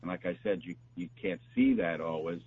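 A man talking as a doctor giving health advice, explaining in a halting, repetitive, conversational way. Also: sits low, darkish tone. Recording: dull, noisy line with hum.

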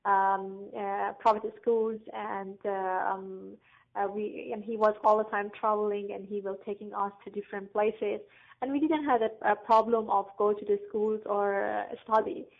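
Woman, from Afghanistan, English, halting, flat monotone, tinny call audio. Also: medium pitch, creaky. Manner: halting, searching for words, frequent fillers. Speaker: guest. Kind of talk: interview answers. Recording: tinny phone-like line.

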